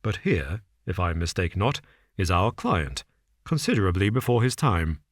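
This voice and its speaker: A man playing a cool, deliberate detective. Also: big pitch swings, no room sound, clean studio sound. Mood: measured. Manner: slow, deliberate, low and understated. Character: cool, shrewd male detective, measured and dry.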